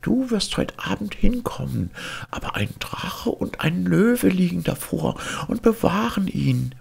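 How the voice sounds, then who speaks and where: A man's voice: sits high, very animated, measured pace, creaky, darkish tone, husky, voice pushed up, exaggerated voice. A man voicing a kindly, worried old woman in a storybook fairy tale. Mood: gentle guiding.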